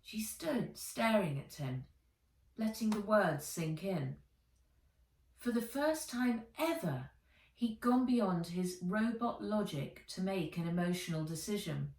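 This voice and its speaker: A woman author reading her children's book aloud as a storyteller, in measured, expressive narration. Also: medium pitch. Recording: roomy echo, distant microphone.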